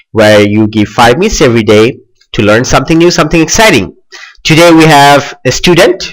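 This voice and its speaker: Young man hosting a casual software tutorial. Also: mid-range voice, poor audio. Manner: loose, with many fillers like "like" and "uh".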